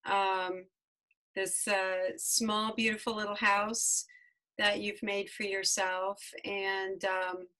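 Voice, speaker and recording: A woman hosting a casual chat over a video call, halting with fillers. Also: medium pitch, little pitch movement, pushed and bright. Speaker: host.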